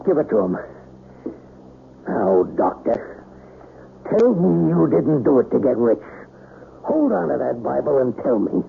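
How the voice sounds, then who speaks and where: A man's voice: mid-range voice, sing-song, measured pace, creaky, long pauses, heavily acted. An actor playing a grim, guilt-stricken man out to avenge his niece in a radio mystery drama, speaking bluntly and colloquially. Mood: commanding, suspicious. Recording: narrow, faintly hissy old radio broadcast.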